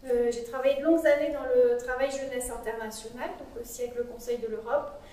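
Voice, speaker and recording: High-voiced woman psychologist chatting with a small group, lots of euhs, mic off to the side so it sounds far and super echoey. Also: steady tone, fairly quick, dark tone. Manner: conversational, interactive, frequent "euh" fillers. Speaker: psychologist giving a talk. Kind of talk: interactive conference talk. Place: cool meeting room with a small audience. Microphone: speaking away from the microphone. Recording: very echoey room, voice from afar.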